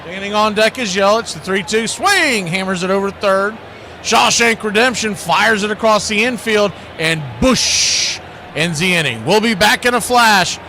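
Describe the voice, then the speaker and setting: Man calling baseball over steady noise. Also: sits high, a little slow. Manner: folksy, uses nicknames and catchphrases. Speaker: baseball play-by-play announcer. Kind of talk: live sports commentary.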